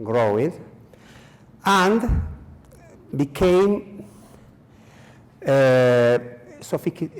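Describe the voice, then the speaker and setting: A man's voice: medium pitch, a little mellow. Man speaking hesitant in a hall. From Chile. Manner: halting non-native English with many fillers and repetitions. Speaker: conference speaker. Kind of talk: conference presentation. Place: conference hall. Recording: long reverb of a hall.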